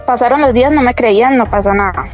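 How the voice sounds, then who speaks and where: A young woman telling a true spooky story in a hesitant, animated way, full of fillers. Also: on the high side, fast, lo-fi sound, faint music behind. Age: young adult. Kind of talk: casual storytelling.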